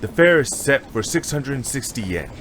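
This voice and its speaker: A man's voice: mid-range voice, sing-song, moderate pace, fairly bright tone. A man playing a helpful, businesslike city taxi driver in an audio drama, with faint noise in the recording.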